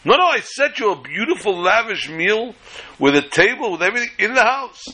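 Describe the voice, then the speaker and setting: A storyteller, a man, puts on a male rabbi from a folk tale, kindly yet immovable. He repeats himself insistently in a forceful, fairly high voice. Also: very animated, fairly quick, breathy edge, exaggerated voice. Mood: coaxing. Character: kindly but unyielding male rabbi host.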